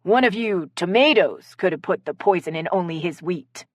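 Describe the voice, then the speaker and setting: A woman narrator voicing a snide, suspicious woman with a husky voice in a comic mystery story. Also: lowish voice, animated, normal speed, no echo, studio quality. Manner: snide, accusing.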